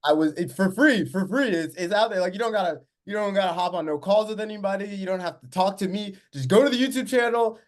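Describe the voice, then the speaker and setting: A man's voice: high voice, fast, few pauses. A man hosting an interview and coaching, blunt and casual, with slang. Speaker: host, business coach.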